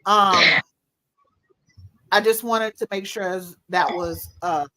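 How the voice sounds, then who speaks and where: A woman's voice: medium pitch, fairly even intonation, takes it slowly, sharp tone, rough recording. A woman hosting a livestream, talking casually with slang and poking fun at herself. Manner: casual, slangy, self-mocking.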